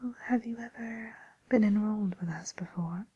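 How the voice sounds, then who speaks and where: A woman playing a polite, attentive receptionist at a fairy-tale dating agency in a soft, breathy, hesitant whisper, as an ASMR roleplay. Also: mid-range voice, normal speed, muffled recording.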